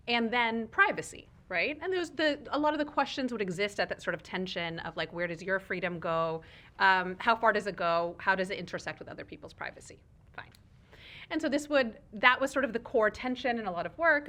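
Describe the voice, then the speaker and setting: A woman whose first language is Urdu speaks at a live fireside chat, her voice bright and clear. She talks in a thoughtful, expansive way, often tagging her points with "right?". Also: mid-range voice. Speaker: guest speaker, foundation leader. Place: event room with audience.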